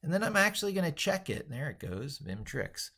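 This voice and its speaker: A man's voice: on the high side, big pitch swings, fairly quick, vocal fry, pushed and bright. A man giving an unscripted live-stream tech tutorial, rambling as he narrates what he does and leaning hard on his points. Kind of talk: unscripted live-stream tutorial, thinking aloud while working.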